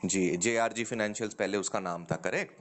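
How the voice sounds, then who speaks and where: A man's voice: on the low side, brisk, a bit bright. A man with an Indian accent on a phone call as a customer, questioning and methodically pressing his point, often checking for agreement. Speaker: customer calling a loan company.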